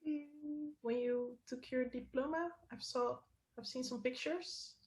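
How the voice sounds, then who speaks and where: A woman's voice: sits high, measured pace, crowd noise. A woman from the Netherlands, a company co-founder, talking as a guest in a casual interview and telling stories with laughter. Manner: laughing, lively storytelling. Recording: roomy echo.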